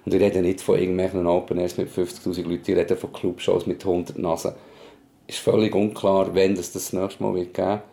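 A man with a Swiss German accent speaks in a deep voice with a mellow, dark tone. There is plenty of gravel in it. He talks frankly and colloquially, as in a casual personal conversation. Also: flat delivery, brisk. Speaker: podcast host, parent.

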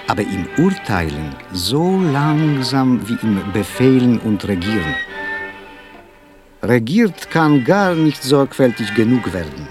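Quirky aging poet, mid-pitched, mannered and emphatic stage monologue, music present. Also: male voice. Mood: instructing. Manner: emphatic, mannered, courteous, circling repetitive self-talk. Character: aging, slightly nervous, whimsical poet with quirks, defiantly cheerful. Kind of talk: theatrical literary monologue with music.

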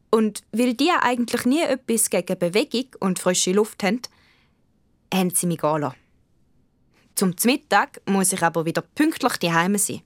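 A woman's voice: lowish voice, no room sound, close to an everyday voice. Woman as an earnest Swiss German girl, dry recording. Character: earnest young girl who loves the forest. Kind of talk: children's radio play, first-person narration.

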